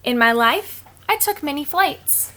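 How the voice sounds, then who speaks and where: A woman's voice: high-pitched, unhurried, slight background noise. A woman reading picture books aloud to children like a teacher, expressively, with simple casual asides. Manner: expressive, simple explaining to young listeners.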